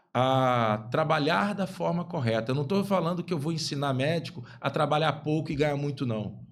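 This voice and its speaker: A man in his fifties, a guest physician on a podcast, speaking emphatically and repeating key phrases. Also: on the high side. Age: 50s. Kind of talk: podcast interview talk.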